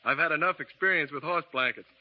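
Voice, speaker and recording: A man plays a good-humored, easygoing frontier husband whose voice sits a little high. The sound is dull and muffled, like an old radio broadcast. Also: fast, natural voice. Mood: easy. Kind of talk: 1930s radio drama acting.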